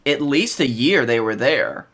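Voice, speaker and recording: A man's voice: mid-range voice, expressive, unhurried, a bit bright. A young man co-hosting a podcast in casual chat from a bedroom. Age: young adult. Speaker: podcast co-host. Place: bedroom, indoors.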